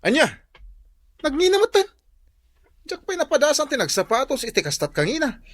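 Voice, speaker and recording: A man's voice: extremely high pitch, wildly swooping pitch, fairly quick, fairly bright tone, exaggerated voice. An actor playing a middle-aged, thrifty father who grumbles and exclaims in a radio drama, with an Ilocano Filipino accent. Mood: shocked.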